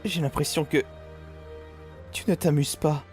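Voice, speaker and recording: A man's voice: fairly high pitch, fairly even intonation, unhurried, over music, natural voice. A man voicing a refined, doting demon father, hesitant and tender, in a cartoon fan dub. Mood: apologetic. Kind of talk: animated series fan dub.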